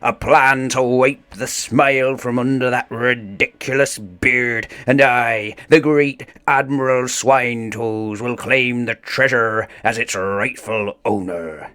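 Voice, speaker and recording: A spiteful pirate admiral from a children's storybook, played by a man. He is pompous and joyless, sneering slowly through his grand declarations. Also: mid-range voice, steady tone, fairly bright tone, no room sound, pitched above the performer's own voice. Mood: scheming, boastful.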